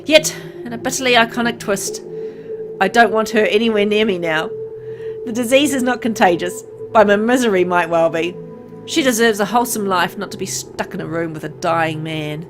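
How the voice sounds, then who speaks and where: A woman's voice: medium pitch, normal speed, music in the background. Woman reading brooding, theatrical narration. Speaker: visual novel reader. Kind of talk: dramatic first-person narration read aloud.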